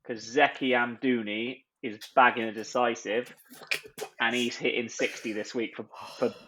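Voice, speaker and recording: A man guesting on a podcast, talking in excitable, self-mocking bursts. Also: mid-range voice, normal speed, poor audio. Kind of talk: casual chat.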